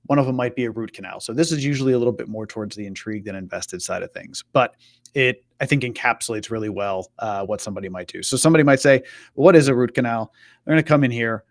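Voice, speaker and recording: A man's voice: mid-range voice, no room sound. Man casually presenting, dry close sound. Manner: informal, voices imagined customer questions as examples. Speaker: presenter on a web marketing live show. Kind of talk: conversational screen-share walkthrough.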